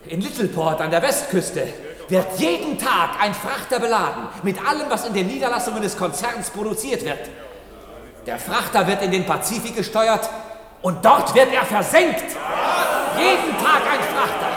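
An actor playing a desperate, urgent fugitive husband and whistleblower in a German radio play. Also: male voice, high-pitched, roomy sound, in a large hall. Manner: urgent, dramatic.

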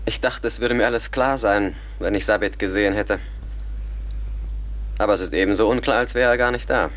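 An actor playing a sceptical, methodical educated man who reasons formally and deliberately in a German radio drama. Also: lowish voice, a bit bright, close to an everyday voice. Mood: pondering.

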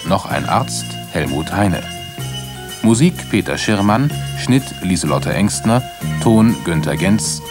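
A man making a formal, scripted radio announcement with measured enunciation. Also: low voice. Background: music.

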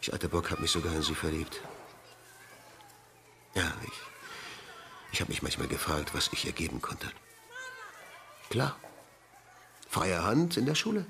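An actor playing a defensive, rueful middle-aged headmaster in a radio crime drama, speaking haltingly. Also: male voice, deep voice, sing-song, creaky, pushed and bright, exaggerated voice. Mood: rueful, agitated. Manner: halting, agitated, confessional. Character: defensive, rueful ageing male school headmaster having confessed an affair.

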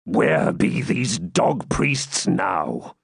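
A man's voice: medium pitch, very animated, a little slow, gravelly, slightly rough, dry recording, studio quality, voice pushed up, full character voice. A man voicing a fierce, stubborn dying baron who growls out defiant speeches in an audiobook. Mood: scornful rage.

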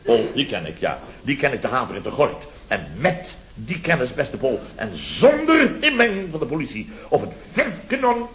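An actor playing a pompous middle-aged building boss in a radio comedy, blustering indignantly and repeating himself. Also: male voice, mid-range voice, huge pitch swings, moderate pace, hardly any pauses, a bit bright. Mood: sarcastic, boastful. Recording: dull, lo-fi old radio recording.

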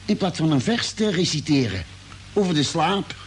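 Radio play spaceship doctor: concerned, probing, lively swooping intonation with thoughtful hesitations, slightly noisy vintage recording. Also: male voice, a little high. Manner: inquisitive, hesitant, with musing pauses. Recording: noisy, humming old radio drama recording.

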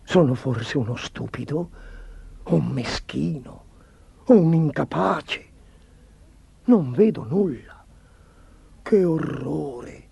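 A man's voice: high-pitched, big pitch swings, unhurried, warm tone, pitched above the performer's own voice, full character voice. A man voicing a harried, anxious, deferential chancellor of a fairy-tale court, fretful and exasperated. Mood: dismayed. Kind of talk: storybook character voice.

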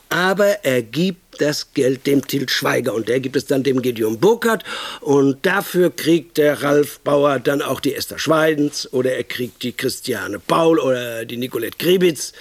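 An actor playing a brash, pushy, excitable film idea man in a radio drama, rambling insistently and interrupting himself as he pitches. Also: husky, no echo, heavily acted. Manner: rambling, insistent, self-interrupting, swinging into emphatic outbursts.

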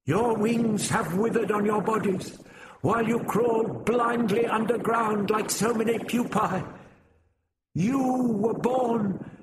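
A man's voice: very high voice, echoey room, background noise, voice pushed up. A man voicing a proud, noble male winged insect leader who speaks in a haughty, ringing, grand way.

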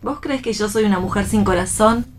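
A woman's voice: medium pitch, a little fast, slight background noise, lightly acted. An Argentine actress playing a playful, teasing, defiant young wife and mother in a radio play reading.

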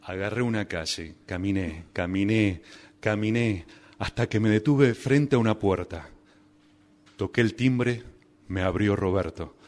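A man's voice: fairly low pitch, measured pace, darkish tone, natural voice. A man with an Argentine accent playing an anguished, melodramatic addict in a comic radio drama, narrating his own suffering. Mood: rueful narration. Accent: Argentine Spanish. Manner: stammering, exasperated, melodramatic.

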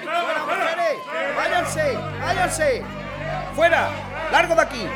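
A man playing a harsh officer dispersing a protest in an audio drama, barking shouted orders. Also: very high-pitched, slow. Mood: angry, commanding. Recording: loud music and crowd behind.

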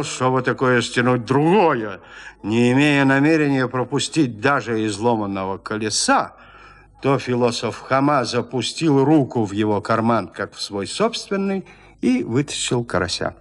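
A man narrating classic literature as an audio drama, with measured, expressive storytelling. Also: mid-range voice.

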